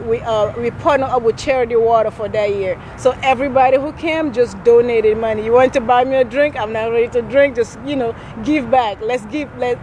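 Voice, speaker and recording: A Cameroon-born woman in her thirties talks about her work in an earnest, halting way. She often restarts her sentences. Her voice sits a bit high, with faint street noise behind her. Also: a little mellow. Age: 30s. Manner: earnest, with frequent restarts and laughs. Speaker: nonprofit founder. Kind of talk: conversational interview answers.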